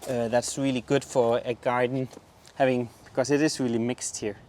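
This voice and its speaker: A man's voice: mid-range voice, level tone, normal speed, darkish tone, a little hiss or hum. Man, Danish accent, garden tour host, eager and exclamatory, casual chat, outdoors. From southern Denmark. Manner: enthusiastic, exclaims over plants.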